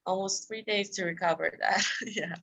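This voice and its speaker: A woman's voice: lowish voice, steady tone, moderate pace, vocal fry, a bit bright, poor audio. Candid woman guest from Iran. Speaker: guest, self-discipline coach. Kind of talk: casual interview answers.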